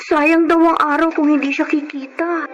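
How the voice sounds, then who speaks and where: A woman's voice: high voice, little pitch movement, moderate pace, loud music behind the voice, close to an everyday voice. An actress playing a devoted, anxious schoolgirl, the youngest sister in a radio drama, with an emotional, plaintive delivery. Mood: concerned. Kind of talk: Filipino radio drama acting.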